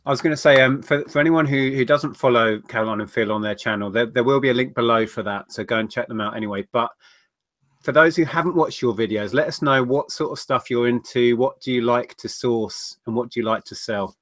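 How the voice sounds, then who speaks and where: A man's voice: mid-range voice, fairly quick, a bit bright, no room sound, studio quality. A man hosting a casual live-stream chat, speaking earnestly and restarting his phrases often. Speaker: host.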